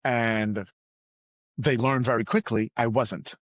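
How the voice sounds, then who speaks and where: A man from Trinidad and Tobago talks as a podcast guest, telling personal stories conversationally. He is emphatic and repeats phrases for weight, in a medium-pitched voice. The sound is dry and slightly dull. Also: fairly even intonation, slow, no echo. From Trinidad and Tobago, moved to the US at nine.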